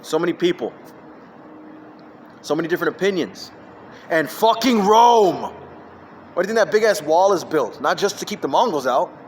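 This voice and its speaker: High-voiced man on a rambling rant. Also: animated, normal speed, pauses often, a little hiss or hum. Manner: jumps between topics, emphatic and scattered. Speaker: vlogger. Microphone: phone.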